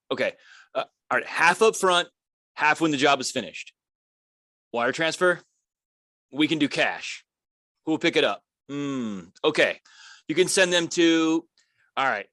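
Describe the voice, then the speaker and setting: A man playing a lawyer haggling over a shady deal in a table read, speaking haltingly. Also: a little high, bright, forceful tone, no room sound, studio quality. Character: hesitant male lawyer negotiating a shady deal. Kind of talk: virtual screenplay table read.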